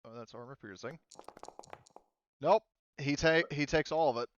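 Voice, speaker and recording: A man's voice: fairly high pitch, moderate pace, lo-fi sound, a little hiss or hum. A man acting as game master, casually refereeing a tabletop game and explaining rules step by step.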